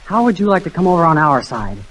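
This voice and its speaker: Animated, wary teenage boy. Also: fairly high pitch, fast. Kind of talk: 1940s radio comedy acting. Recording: faintly noisy 1940s radio recording.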